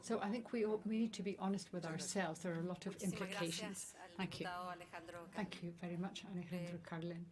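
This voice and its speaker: Female conference interpreter, slightly low register, halting phrase-by-phrase delivery, clear murmur of voices behind. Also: steady tone. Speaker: simultaneous interpreter. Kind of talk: live interpreting of formal meeting speeches. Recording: loud crowd murmur behind.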